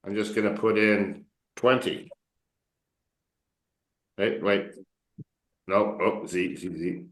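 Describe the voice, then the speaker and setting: A man coaching English students in a casual online class, relaxed and encouraging, often joking with them. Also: fairly low pitch, takes it slowly, reverberant. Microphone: video call.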